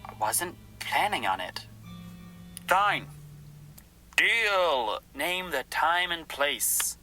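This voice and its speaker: A woman's voice: sits low, big pitch swings, extremely slow, bright, forceful tone, soft background music, heavily acted. A woman playing a defiant, sarcastic, foul-mouthed occult researcher with a blunt, exasperated manner, in audio drama style. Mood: grudging. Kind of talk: dramatic audio roleplay acting.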